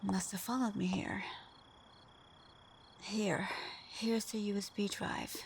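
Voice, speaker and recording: A woman's voice: medium pitch, fairly even intonation, slow pace, vocal fry, a little hiss or hum. A woman playing a sly, flirtatious supervillain in a scripted ASMR roleplay, murmuring breathily with teasing hums. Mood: sly, hushed. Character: flirtatious, sly female supervillain teasing her hero nemesis.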